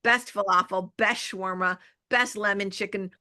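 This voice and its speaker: A woman guesting on a podcast, talking about marketing in an energetic, emphatic way and repeating her key phrases. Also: mid-range voice, moderate pace. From Los Angeles area, US. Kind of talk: casual interview chat.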